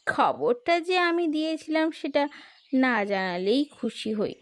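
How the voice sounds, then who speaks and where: Woman playing a sly, high-voiced scheming daughter-in-law. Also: very animated, a little slow, dry recording. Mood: sly, persuasive. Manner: conniving, self-assured. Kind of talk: cartoon moral-tale voice acting.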